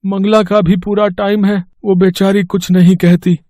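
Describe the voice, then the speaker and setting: A man's voice: high-pitched, level tone, normal speed, mellow, no room sound, clean studio sound, natural voice. A man playing a poor, anxious village father in a cartoon story, earnest and pleading. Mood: worried. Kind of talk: Hindi cartoon story voice acting.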